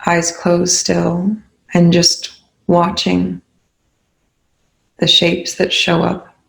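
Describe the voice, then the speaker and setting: A calm, unhurried speaker leading a guided meditation in soothing spoken instructions with long pauses. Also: mid-range voice, gravelly, poor audio.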